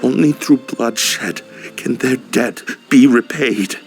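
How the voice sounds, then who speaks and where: An actor playing a grim, grieving, vengeful guard captain in a video game, solemn and deliberate, with a gravelly voice. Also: male voice, mid-range voice, faint music behind. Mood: cold resolve. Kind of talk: dramatic video game voice acting.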